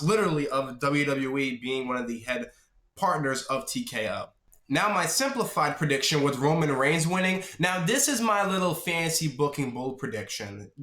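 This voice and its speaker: Slightly raspy, higher-pitched man in an echoey room. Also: fairly bright tone. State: getting over a sore throat. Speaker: host of a wrestling prediction video channel. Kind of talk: casual solo vlog commentary to viewers. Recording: echoey, roomy sound.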